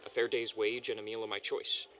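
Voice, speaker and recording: A man's voice: fairly low pitch, level tone, brisk, a bit bright, lightly acted, poor audio. An actor playing an earnest, eager, bewildered young man talking into a recorder in a family audio drama. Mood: eager, puzzled.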